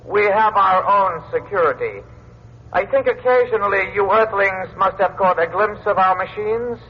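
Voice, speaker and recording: An actor playing a courteous but imperious disembodied alien in a vintage radio drama, with formal, measured, pedantic diction. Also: male voice, high-pitched, breathy edge. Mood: formal, controlling. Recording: dull, noisy old radio recording.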